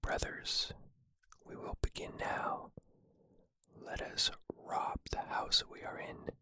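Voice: slow, bright, forceful tone. A narrator whispering the lines of a fat male bandit who rallies his brothers. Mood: rousing. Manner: rallying, commanding speech.

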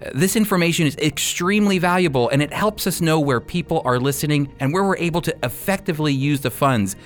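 A man's voice: on the high side, quick pace. Male radio host appealing over music. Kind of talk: scripted donation appeal to listeners.

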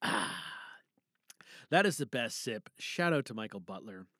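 Animated, bright-voiced man hosting a podcast. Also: lowish voice, a little slow, no room sound, clean studio sound. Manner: rambling, showy, talks himself through actions. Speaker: host of an audio drama podcast. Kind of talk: casual, theatrical hosting chat.

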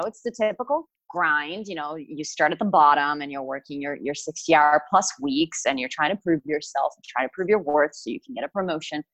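A woman's voice: deep voice, a bit bright, no echo, muffled recording. A young woman from Italy with an American accent, reflective and full of fillers as she tells her story in an interview. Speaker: guest, writer and coach.

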